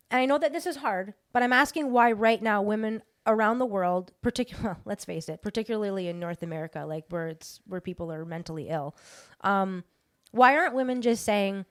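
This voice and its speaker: A woman's voice: a little high, fairly bright tone. A woman hosting a casual podcast, who talks bluntly, swears freely and presses her guest with incredulous questions. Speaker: podcast host.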